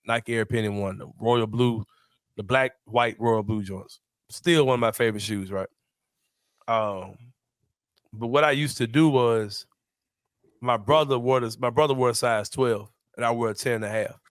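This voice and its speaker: A man in his forties from Memphis, hosting a sports podcast in a relaxed, slangy, laughing way. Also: mid-range voice, moderate pace, smooth, no room sound, clean studio sound. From Memphis, USA. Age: 40s. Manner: laid-back, slangy, laughs often. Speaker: podcast host. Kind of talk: casual sports podcast chat.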